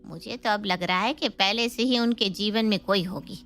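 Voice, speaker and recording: A woman's voice: mid-range voice, moderate pace, vocal fry, a bit bright, faint music behind. A woman playing a protective, indignant middle-aged mother in an Indian family story, emphatic and fussing. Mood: suspicious, protective. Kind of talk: scripted Hindi family story dialogue.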